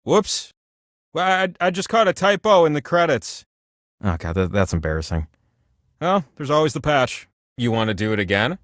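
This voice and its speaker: A man's voice: a little high, sing-song, normal speed, a bit bright, dry recording, clean studio sound. A man voicing a giant, ancient talking wheel in a video game, a jovial, grandiose and needy godlike host with a chatty, theatrical delivery. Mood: discouraged, sheepish. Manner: chatty, theatrical, swings between gushing and mumbled asides. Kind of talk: comic video game character monologue.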